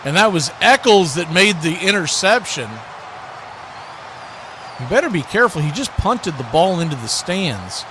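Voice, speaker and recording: A man doing live radio play-by-play commentary of a football game as the announcer. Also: on the high side. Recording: clearly noisy live broadcast.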